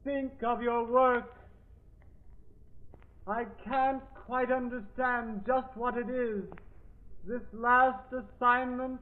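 A male actor playing the spirit of a dead man at a radio seance, speaking in a solemn, drawn-out way on an old recording. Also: extremely high pitch, flat delivery, phone-quality sound. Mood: earnest, urging. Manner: slow, solemn, drawn-out delivery. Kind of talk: 1940s radio acting.

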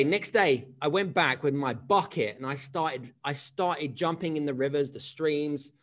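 A man, giving a chatty motivational keynote with many restarts and questions to the audience. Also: a little high. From England. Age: 30s. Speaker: keynote speaker.

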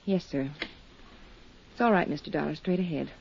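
An actress playing a meek, drab young woman who works as a receptionist, speaking quietly and timidly in a muffled 1950s radio drama. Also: low-pitched, normal speed, creaky, slight background noise.

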